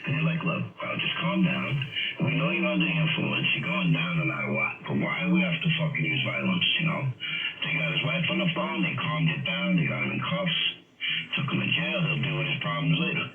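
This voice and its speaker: Band-limited radio, high-pitched animated New man. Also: a little fast, very noisy. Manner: profane, emphatic, repetitive. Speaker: amateur radio operator in a group chat. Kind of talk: casual argumentative chat. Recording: narrowband, echoey ham radio transmission, loud interference.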